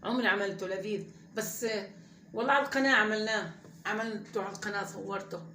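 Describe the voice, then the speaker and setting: A woman's voice: medium pitch, steady tone, quick pace, pushed and bright, roomy sound, slight background noise. A woman, hosting a home cooking channel and chatting casually and rambling straight to her viewers from her kitchen. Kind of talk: casual cooking vlog chat.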